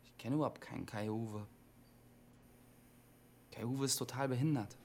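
A man's voice: medium pitch, measured pace, a little mellow, slight background noise, lightly acted. An actor playing a defiant, lonely young man telling invented stories in short, matter-of-fact statements in a radio drama. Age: young adult. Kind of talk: naturalistic German radio drama acting.

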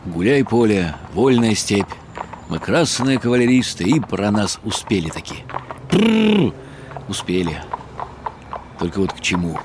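A man's voice: fairly low pitch, very animated, a little slow, pauses often, noisy background. An actor playing a weary, wry middle-aged writer who narrates his own story in ironic inner monologue for a radio drama. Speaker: narrator and lead character.

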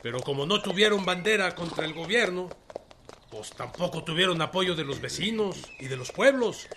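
An actor playing a resolute, proud rural Mexican revolutionary general in a radio drama, speaking plainly with folksy country turns and a Mexican accent. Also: male voice, high-pitched, lively intonation, normal speed, noisy background. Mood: plain-spoken, reflective.

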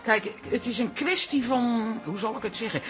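A woman's voice: on the high side, normal speed, close to an everyday voice. An actress playing a bossy older aunt with a theatre past, hesitating and repeating herself as she instructs, in a radio comedy drama. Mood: instructive, earnest. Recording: music under the voice.

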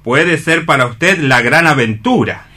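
A man's voice: a little high, animated, unhurried, pushed and bright, heavily acted. A man playing an eloquent, persuasive newspaperman in a radio theatre reading, emphatic and theatrical, with an accent from Spain. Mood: teasing curiosity. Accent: Spanish (Spain). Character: eloquent newspaperman, worldly, persuasive male mentor.

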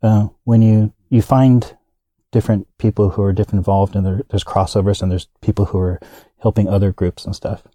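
A man's voice: fairly low pitch, level tone, fairly quick, mellow, no echo. A man being interviewed, talking casually and rambling, with many fillers and restarts. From United States. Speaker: guest.

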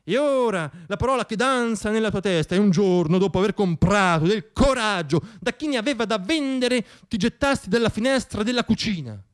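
A man's voice: high-pitched, lively intonation, a little fast, hardly any pauses, clean tone. A man performing a satirical radio theatre monologue in a dramatic, ironic storytelling manner. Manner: dramatic, ironic, shifting between hushed and declaimed.

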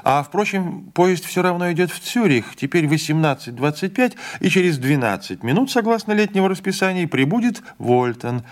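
A man narrating a literary audiobook with an expressive reading, giving the characters' lines a voice of their own. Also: high-pitched, normal speed, hardly any pauses.

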